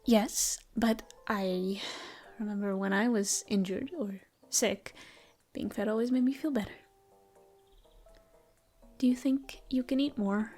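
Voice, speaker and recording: A woman voicing a gentle, caring female elf ranger in an audio roleplay, speaking softly and often in a whisper. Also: mid-range voice, steady tone, unhurried, faint music behind. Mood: soothing. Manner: soft, soothing, often whispered. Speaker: voice actress. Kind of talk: audio roleplay, comfort ASMR.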